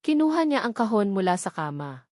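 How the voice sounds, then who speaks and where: A woman reading a scripted dramatic story as an audiobook narrator, in a measured, emotive way. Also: medium pitch, sing-song, a little mellow, dry recording, studio quality.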